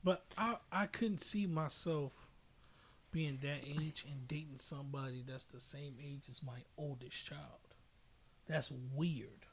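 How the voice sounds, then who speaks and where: A man's voice: fairly high pitch, slow pace, a little hiss or hum. A man hosting a casual podcast, talking in slangy, emphatic, opinionated style and repeating himself for effect. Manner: emphatic, slangy storytelling with repetition. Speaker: podcast host.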